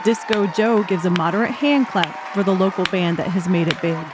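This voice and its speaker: A woman's voice: fairly low pitch, normal speed. A woman reading scripted narration of a comic novel as an audiobook narrator. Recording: music and crowd noise behind. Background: music and bar crowd sounds.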